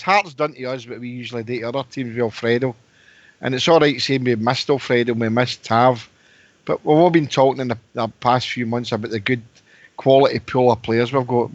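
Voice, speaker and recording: Man, co-host, casual, halting delivery with repeats; slightly muffled dry mic. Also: mid-range voice, dry recording. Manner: halting, repeats words while thinking. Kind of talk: casual phone-in chat.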